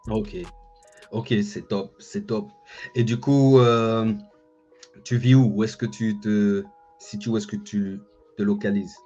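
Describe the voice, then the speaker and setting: A man's voice: on the low side, steady tone, takes it slowly, dark tone. A man in his thirties hosting a casual interview, often repeating his phrases. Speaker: interviewer and host. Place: indoor room with bookshelf, video call. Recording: dull lo-fi online call, music behind. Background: music.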